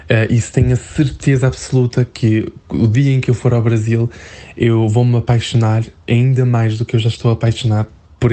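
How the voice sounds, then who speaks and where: Hesitant Portuguese man, dark even voice. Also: medium pitch. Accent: European Portuguese. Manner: hesitant, many fillers and restarts. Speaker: interview guest. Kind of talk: casual interview chat.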